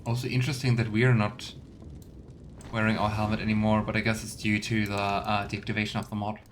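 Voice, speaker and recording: A man's voice: on the low side, level tone, brisk, slight background noise. A man giving casual let's play commentary, thinking aloud in loose fragments. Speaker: game streamer. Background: faint game music.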